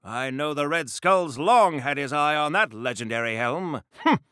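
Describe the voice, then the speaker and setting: A man's voice: a little high, lively intonation, moderate pace, sharp tone, clear, resonant tone, dry recording, clean studio sound. A man voicing a pompous, oblivious 1940s-style superhero in a video game, with theatrical, formal and fussy earnest delivery. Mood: earnest, chatty. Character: pompous, oblivious, old-fashioned 1940s Golden Age superhero. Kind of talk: 1940s radio-serial style game voice acting.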